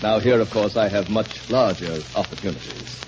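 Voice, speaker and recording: A man's voice: lowish voice, moderate pace, natural voice. An actor in a 1930s radio drama playing an imperious, falsely genial rich man, formal and patronizing. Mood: smugly genial. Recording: scratchy, muffled 1939 radio recording.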